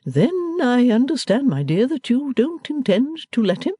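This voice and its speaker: A woman reader voicing a meek, gentle older father who speaks mildly and slowly. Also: sits high, sing-song, breathy edge, dry recording, clean studio sound, pitched above the performer's own voice, heavily acted. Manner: mild, slow and soothing.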